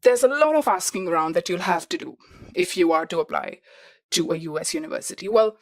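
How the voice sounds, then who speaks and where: A woman's voice: lowish voice, expressive, a little fast. A young woman hosting a podcast who explains things step by step in a repetitive way and talks straight to her listeners. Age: young adult. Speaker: podcast host. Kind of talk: advice talk.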